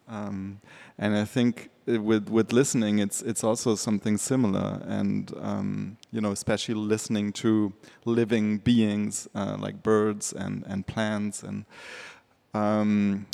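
A man's voice: fairly low pitch, gravelly, a little mellow. A man, an artist, talking reflectively on a panel, hesitant and full of fillers. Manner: thoughtful, hesitant, many fillers.

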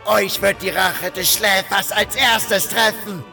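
High, gravelly, vengeful fanatic. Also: male voice, fairly even intonation, slow pace, bright, forceful tone, soft background music, heavily acted. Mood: furious threat. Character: vengeful male cult fanatic, bitter and threatening. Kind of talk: fan audio drama acting.

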